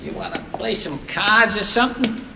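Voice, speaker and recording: High-voiced echoey grumbling henchman. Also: moderate pace, creaky. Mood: restless complaint. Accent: American. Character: grumbling male gangster henchman, restless and dim. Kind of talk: old radio drama acting. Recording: echoey, distant, faintly noisy old radio.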